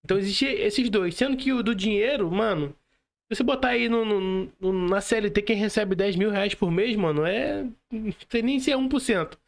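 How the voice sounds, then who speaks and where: Casting: male Brazilian podcast host, notably high register, casual and emphatic street-level phrasing. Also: moderate pace, creaky.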